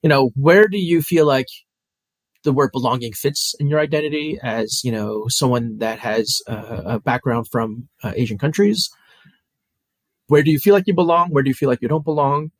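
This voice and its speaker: A man's voice: mid-range voice, normal speed, dry recording. Easygoing male moderator, dry sound. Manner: easygoing, filler-heavy questions with warm backchannels. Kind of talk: conversational panel discussion.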